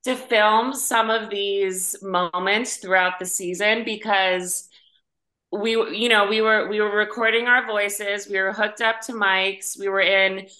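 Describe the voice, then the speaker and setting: A woman with a bright, forceful voice talks casually as an interview guest. Her phrasing is emphatic and informal, like an actress sharing how the work gets done. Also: mid-range voice, steady tone, a little slow, low-quality recording. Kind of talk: casual chat.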